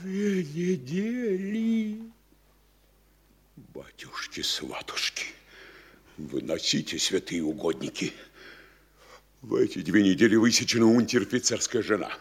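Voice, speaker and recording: An actor playing an anxious, pompous older town governor who exclaims slowly in pious alarm in a radio play. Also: male voice, mid-range voice, very animated, husky, exaggerated voice. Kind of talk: stage radio play acting.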